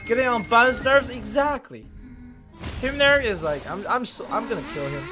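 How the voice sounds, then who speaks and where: A man's voice: very high voice, lively intonation, moderate pace, over music. A man whose first language is Chinese, streaming a game live and talking casually, swearing and exclaiming as he plays.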